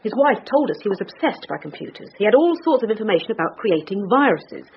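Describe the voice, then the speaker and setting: An actress playing a brisk, dogged British police detective in charge, reasoning aloud in a naturalistic radio drama. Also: female voice, medium pitch, very animated. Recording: dull radio recording with faint noise.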